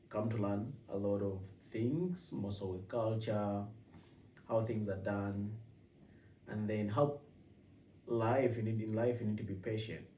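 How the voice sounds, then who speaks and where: A man's voice: on the low side, mellow, slight background noise. A man from Uganda with a Ugandan accent, talking casually to his viewers and often restarting his sentences. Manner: rambling with frequent restarts. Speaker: vlogger host. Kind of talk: casual personal vlog. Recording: echoey room, mic at a distance.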